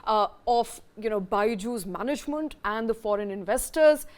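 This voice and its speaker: A woman's voice: on the high side, expressive, moderate pace. Indian-accented woman anchoring the news. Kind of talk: live news anchoring, scripted lead then questions to guests.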